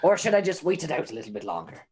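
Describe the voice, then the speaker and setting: Cheeky rambling prisoner informant, played by a man, stammering, folksy, slightly high. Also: big pitch swings, very fast, crowd noise. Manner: rambling, stammering, old-timey folksy phrasing. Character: imprisoned male informant Tad, chatty, cheeky, rambling.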